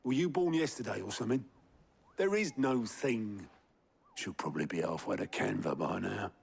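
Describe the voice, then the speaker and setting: Gravelly, sardonic British man. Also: fairly low pitch, lively intonation, moderate pace, darkish tone, heavily acted. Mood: wry, exasperated. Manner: colloquial, sardonic. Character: wry, exasperated, teasing man of the hideout. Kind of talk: character voice acting in a video game.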